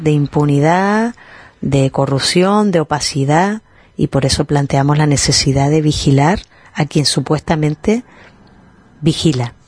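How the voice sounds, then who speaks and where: A woman activist, answering radio interview questions in a measured, deliberate way with pauses. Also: deep voice, darkish tone, no room sound.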